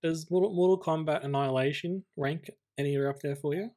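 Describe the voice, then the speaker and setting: A man's voice: on the high side, fairly quick, mellow. A man co-hosting a film podcast in an easygoing, conversational way. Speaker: podcast co-host. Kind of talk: casual film podcast chat.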